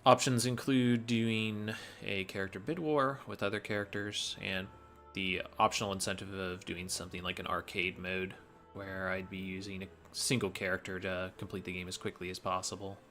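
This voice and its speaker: A man's voice: low-pitched, flat delivery, normal speed, a bit bright. A man giving explanatory commentary on his video game speedrun. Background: game music.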